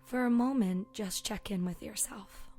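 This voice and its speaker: A woman leading a guided meditation as a yoga teacher, speaking softly and soothingly with long pauses and a voice that can trail toward a breathy whisper. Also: mid-range voice. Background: soft meditation music with singing bowl tones.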